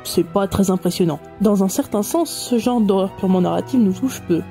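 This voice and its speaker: An actress playing a sly office colleague who tells stories with mock solemnity in an audio comedy. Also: female voice, mid-range voice, a little fast, warm tone, faint music behind, close to an everyday voice. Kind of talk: amateur audio comedy drama.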